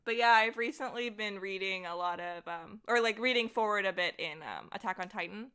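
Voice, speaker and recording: A woman podcast guest chatting casually, telling stories in an animated, self-deprecating way with frequent laughter. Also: mid-range voice, brisk, smooth. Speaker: voice actor. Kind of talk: casual conversational chat about fandom.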